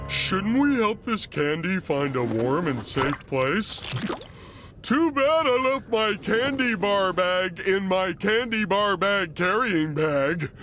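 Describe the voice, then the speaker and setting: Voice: medium pitch, animated. An actor playing a dim, good-natured male starfish in a cartoon video game, goofy and childlike. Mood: dopey, casual. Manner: slow-witted, goofy, childlike. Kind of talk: animated video game voice acting. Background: game music.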